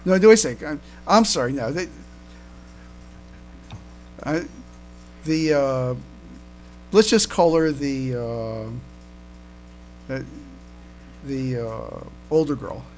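A man co-hosting a casual fan podcast, speaking haltingly and often repeating his words as he comments. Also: mid-range voice, animated. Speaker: podcast co-host. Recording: noisy home recording with hum.

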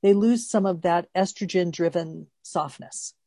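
Lowish woman in her 60s chatting, thoughtful and explainy, dry close sound. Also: a little slow, mellow, no echo. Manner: thoughtful, explains at length, laughs easily. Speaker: co-host. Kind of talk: casual chat.

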